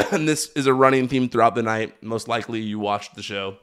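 A man hosting a wrestling podcast, talking in an energetic, hyped-up, chatty way while recovering from being sick. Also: mid-range voice, normal speed, clean tone. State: recovering from illness. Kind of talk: casual podcast recap.

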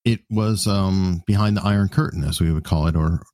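A man's voice: deep voice, brisk, a little mellow, dry recording. A man interviewing in a conversational, explanatory manner.

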